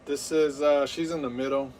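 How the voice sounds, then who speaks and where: A man's voice: medium pitch, steady tone, moderate pace, darkish tone, far from the mic, slight background noise. A man in his thirties hosting a live game stream, rambling with lots of fillers and hyped play-by-play. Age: 30s.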